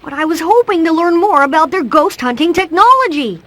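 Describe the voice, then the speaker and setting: A woman's voice: very high voice, animated, a little fast, a bit bright. A woman voicing a precise, curious boy genius in a cartoon video game, with a bookish, eager manner. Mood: disappointed.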